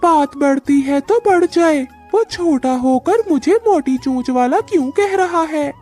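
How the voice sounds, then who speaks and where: Cartoon male parrot played by a woman: high, mellow tone, slow defiant delivery, quick temper. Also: animated, faint music behind. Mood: indignant. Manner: indignant, defiant. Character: hot-tempered male parrot, stubborn husband.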